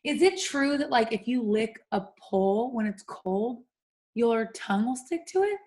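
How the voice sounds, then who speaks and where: A playful young woman co-hosting a loose chat. Her voice sits a little high, and she swears casually. She sounds tired after a long day of work. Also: lively intonation, measured pace, a little mellow. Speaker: podcast co-host. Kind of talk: casual chat, reading listener answers aloud.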